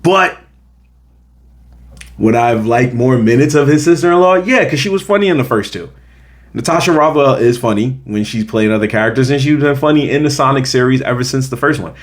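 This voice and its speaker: A man's voice: medium pitch, animated, fairly quick. An American man hosting a film review, talking casually and emphatically, repeating his points to drive them home. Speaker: film review show host. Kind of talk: casual opinionated movie review to camera.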